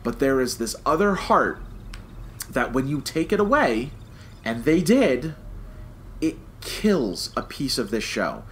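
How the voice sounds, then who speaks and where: A man's voice: a little high, a bit bright, slight background noise. A man hosting a casual review podcast, talking emphatically and repeating phrases for stress. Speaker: podcast host.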